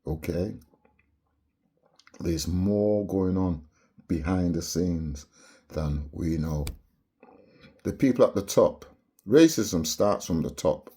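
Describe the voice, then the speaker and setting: Low husky Barbadian man ranting. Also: slow, pauses often, dark tone. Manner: preachy, repeats rhetorical "yeah?" tags. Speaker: vlogger. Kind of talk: impassioned monologue to camera.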